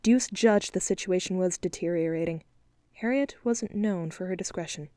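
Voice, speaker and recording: A woman narrating an audiobook in an even, measured storytelling manner. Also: on the low side, no echo, studio quality. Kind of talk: scripted narration.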